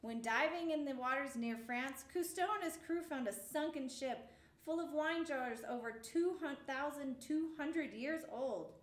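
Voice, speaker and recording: A woman reading a picture book aloud as a storyteller for children, with measured, expressive phrasing. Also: high-pitched, reverberant.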